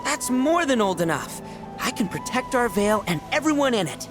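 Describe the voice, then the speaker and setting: A man's voice: very high voice, sing-song, a little fast, music in the background. A man voicing a boyish, proud teenage beast in a video game, eager and earnest. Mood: proud, indignant.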